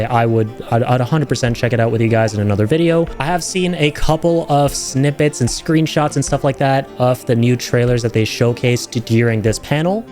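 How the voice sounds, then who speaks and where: A man hosting reaction videos, speaking in an excited, chatty, rambling way to his viewers. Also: medium pitch. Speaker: streamer and video host reacting to game trailers. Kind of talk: excited casual commentary to viewers.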